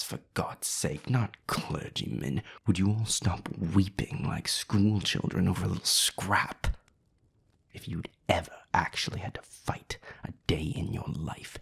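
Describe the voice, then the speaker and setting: A man voicing a haughty, scornful vampire with a raspy, breathy voice in a scripted audio drama. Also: deep voice, unhurried, in a whisper, full character voice. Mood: exasperated. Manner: haughty, cutting, sardonic. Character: scornful, condescending male vampire, bitter rival. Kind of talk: scripted audio roleplay acting.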